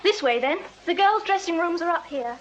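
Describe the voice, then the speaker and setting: A woman's voice: extremely high pitch, lively intonation, moderate pace, dull audio, a little hiss or hum. An actress playing a prim, protective Victorian music hall woman in a radio drama, speaking precisely and earnestly with an English accent. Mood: brisk, sombre. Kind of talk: full-cast period radio drama acting.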